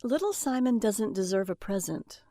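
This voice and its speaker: An actress playing a sharp-tongued, easily distraught housewife and mother in an audio drama. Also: mid-range voice, expressive, normal speed, dry recording, studio quality, close to an everyday voice. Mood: distressed, accusing.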